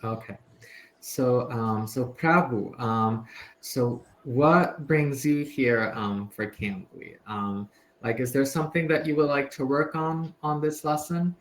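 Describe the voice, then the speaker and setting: A man with a mid-range voice leads a relaxed English lesson over a video call. He is friendly but hesitant, often circling back with fillers before he finishes a question, and a faint hiss sits behind him. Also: mid-range voice, slow pace. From United States. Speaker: English tutor. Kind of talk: casual conversation lesson.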